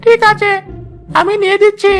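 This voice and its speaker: Cartoon kid brother: very high squeak, little pitch movement, innocent and plaintive. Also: flat delivery, moderate pace, fairly bright tone, faint music behind. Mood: curious, innocent. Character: small youngest brother, naive tattletale child. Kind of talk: Bengali fairy-tale cartoon dub.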